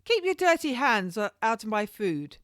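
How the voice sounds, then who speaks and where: A woman reads as a defiant accused lady in a historical audiobook. She is quick-witted and indignant, her formal old-world speech lifted in pitch, recorded dry and close. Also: very animated, fairly bright tone, no room sound.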